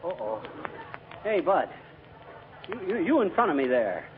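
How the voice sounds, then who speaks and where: A man's voice: fairly high pitch, big pitch swings, a little slow. An actor playing a chummy, blustering everyman husband who stammers along in old radio comedy. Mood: blustery, chummy. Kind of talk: 1940s radio comedy acting. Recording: tinny, noisy radio, live audience.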